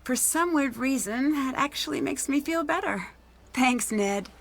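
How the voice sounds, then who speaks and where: A woman's voice: sits high, lively intonation, measured pace, gravelly, heavily acted. An actress playing a plucky young female detective, talking conversationally in a video game. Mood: cheered up. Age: young adult.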